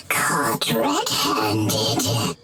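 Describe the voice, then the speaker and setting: An actor playing a gloating, cowardly villain in a video game, with a theatrical, drawn-out delivery. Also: sits high, pushed and bright, full character voice.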